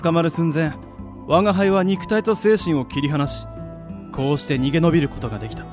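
A man plays a proud, ancient demon lord in an anime-style radio drama. His voice sits fairly high for a male, declaiming in grand archaic phrases, with music clearly under it. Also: fairly quick, natural voice. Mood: grave, resolute.

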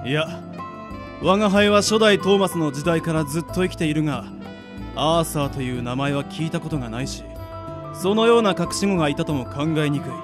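A man's voice: fairly high pitch, lively intonation, a little fast, over music, lightly acted. A man playing a proud ancient demon lord and magician in a Japanese radio drama, speaking in a grandiose, archaic manner. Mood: grave, resolute. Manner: grandiose archaic speech, declaiming. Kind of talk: Japanese anime-style radio drama acting.

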